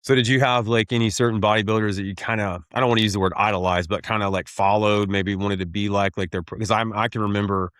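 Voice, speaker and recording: A man hosting a podcast who asks relaxed, curious follow-up questions. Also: lowish voice, quick pace, hardly any pauses, clean tone, no room sound, studio quality. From Tennessee, USA. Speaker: podcast host. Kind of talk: conversational interviewing.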